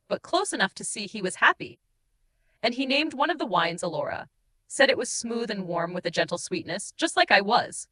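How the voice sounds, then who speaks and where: A woman's voice: medium pitch, pushed and bright, rough recording. A woman voicing a guilt-ridden, tender shapeshifting changeling who confesses in mournful, dramatic first-person audiobook narration. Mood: tender, bittersweet. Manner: emotive, mournful storytelling with dramatic pauses.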